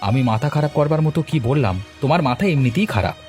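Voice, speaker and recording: A man's voice: a little high, animated, quick pace, darkish tone, natural voice. A man voicing a village man in a cartoon, with an emphatic, conversational manner. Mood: indignant, scolding. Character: male villagers in a cartoon, bantering and quarrelling. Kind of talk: Bengali cartoon voice acting. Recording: faint music behind the voice.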